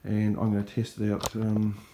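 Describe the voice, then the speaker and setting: A man's voice: lowish voice, monotone, normal speed, warm tone, slight background noise, poor audio. A man in his fifties with a New Zealand accent, explaining things informally and unhurriedly in a casual fishing vlog. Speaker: fishing vlogger.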